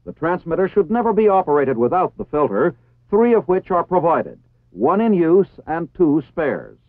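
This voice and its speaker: A man with an American accent reading formal, scripted instructional narration in a measured, precisely enunciated way. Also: a little high, very animated, no echo. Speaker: training film narrator. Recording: tinny phone-like 1960s film soundtrack.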